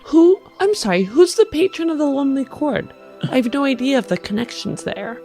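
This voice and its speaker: A woman's voice: high voice, animated, fairly quick, mellow. A woman who co-hosts a tabletop roleplaying podcast, addressing listeners and playing along. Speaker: podcast host and tabletop player. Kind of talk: show announcement and roleplay. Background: music.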